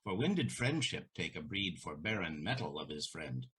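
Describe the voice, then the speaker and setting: An actor playing a proud, scornful elderly merchant in a Shakespearean audio drama, with a haughty, declamatory delivery. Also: male voice, low voice, very animated, normal speed, sharp tone, breathy edge, heavily acted. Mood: scornful, defiant.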